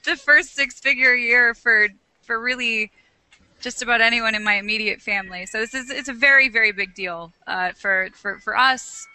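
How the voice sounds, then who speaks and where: A woman's voice: fairly high pitch, moderate pace, sharp tone, no room sound, low-quality recording. A young woman from Alabama, a guest on an online interview, chatting casually, laughing often and restarting her phrases. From Alabama, USA. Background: dog, child and keyboard nearby.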